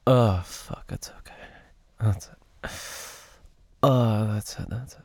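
Guy whispering real slow, breathy, moans n sighs, super close mic, dead dry sound. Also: lowish voice, dry recording. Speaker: audio roleplay performer. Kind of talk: intimate whispered audio roleplay.

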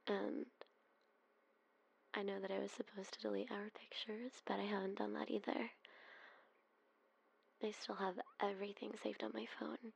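A woman whispers close and soft, her voice husky and full of gravelly fry. She keeps her tone narrow and even, sighing between phrases as in an ASMR roleplay. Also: mid-range voice, slow, long pauses. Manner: soft breathy whispering with sighs.

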